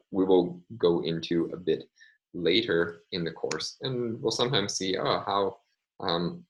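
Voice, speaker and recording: A man lecturing in a measured way, often pausing with ums and uhs as he explains. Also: sits low, low-quality recording. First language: English. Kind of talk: recorded online lecture.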